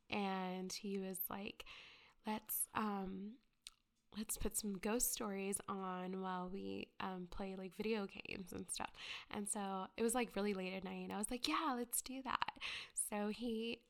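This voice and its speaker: A young woman with a stuffy, congested voice, talking slowly in a soft half-whisper. Her girly tone carries a gravelly, creaky edge as she rambles through her intro, dotted with fillers. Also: mid-range voice. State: stuffy from a cold. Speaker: ghost story podcaster. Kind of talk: soft whispered casual chat.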